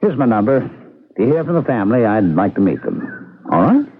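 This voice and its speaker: An earnest working man in a vintage radio play, polite but persistent. His middle-pitched voice moves expressively through a narrow, faintly hissy old broadcast. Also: big pitch swings, fairly quick, breathy edge. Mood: firm. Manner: polite, hesitant, conversational. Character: earnest, persistent mailman, an everyday husband. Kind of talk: 1970s radio drama acting.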